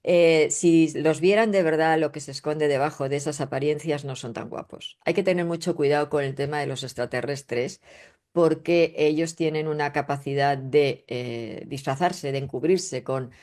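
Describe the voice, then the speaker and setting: A woman's voice: low voice, moderate pace, a little mellow. A woman with a Spanish accent from Spain, speaking as an interview guest in an emphatic, didactic way and often checking in with rhetorical questions. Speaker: guest, astrologer and spiritual researcher. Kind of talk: conversational interview explanation.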